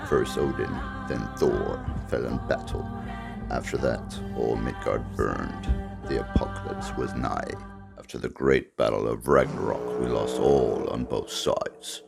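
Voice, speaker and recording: A man voicing an ancient Norse warrior with a gravelly voice, gravely narrating an epic tale. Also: rumbling low, unhurried, over loud music, exaggerated voice. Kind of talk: dramatic scripted narration.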